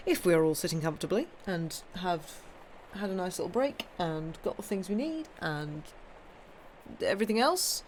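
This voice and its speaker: A woman with a slightly croaky voice who hosts a live stream and reads ghost stories aloud. She chats in a rambling way and corrects herself before she settles into the reading. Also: on the low side, a little hiss or hum, rough recording. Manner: rambling, stumbling, self-correcting chatter. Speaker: storyteller and live-stream host. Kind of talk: casual chat then reading a ghost story aloud.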